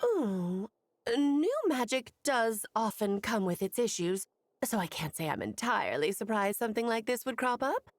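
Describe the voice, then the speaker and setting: A woman voicing a confident adult female mage who speaks in an airy, poised and gently bossy way in video game dialogue. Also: on the high side, very animated, moderate pace, dry recording. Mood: breezy.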